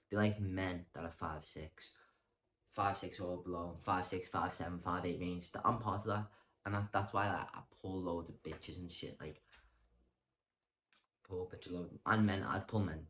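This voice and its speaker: A young man vlogging in a casual monologue, rambling and hesitant, with lots of ums and self-corrections and deadpan self-mocking humor. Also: low-pitched. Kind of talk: casual talking-to-camera monologue.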